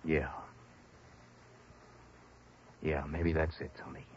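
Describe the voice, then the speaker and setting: A man's voice: rumbling low, flat delivery, brisk, slightly rough. An actor playing a tough, wry private investigator in a 1960s radio detective drama, needling others and narrating his case. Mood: needling, cool. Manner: hard-boiled, needling. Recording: dull old radio recording, music behind.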